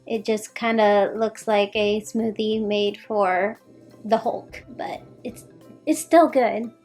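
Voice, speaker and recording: A woman's voice: medium pitch, takes it slowly, a little mellow, soft background music. A young woman from Georgia, chatting casually to camera in a home kitchen, rambling and giggly. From Georgia, USA. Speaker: lifestyle vlogger. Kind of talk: casual vlog chat to camera.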